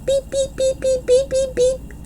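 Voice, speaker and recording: Teeny squeaky peeping little girl. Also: monotone, a little slow, loud music behind the voice. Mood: silly. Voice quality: tiny, squeaky. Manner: repetitive chirping. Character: giggly little girls squeaking teeny peeps.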